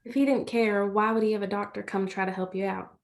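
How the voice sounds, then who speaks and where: A woman's voice: medium pitch, steady tone, fairly quick, close to an everyday voice. A woman playing a caring country girl in a stage play, folksy and direct with her best friend. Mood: concerned.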